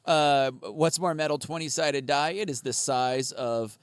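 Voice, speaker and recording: A man's voice: on the high side, measured pace, smooth, dry recording, studio quality. A man co-hosting a comedy podcast with casual banter and crude, irreverent jokes. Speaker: podcast co-host.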